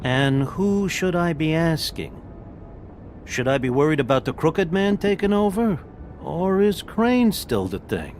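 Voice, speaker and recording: A man's voice: fairly high pitch, big pitch swings, a little slow, darkish tone, faint music behind. A man voicing a blunt, wisecracking talking pig in a video game, loyal and slangy in manner. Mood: challenging, worried. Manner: sardonic, slangy, informal.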